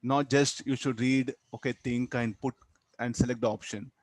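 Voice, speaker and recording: A man with an Indian accent teaching physics, explaining step by step in a halting way, repeating words and tagging on "huh" and "okay". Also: medium pitch, steady tone, no room sound. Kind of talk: classroom lesson, explaining a worked problem.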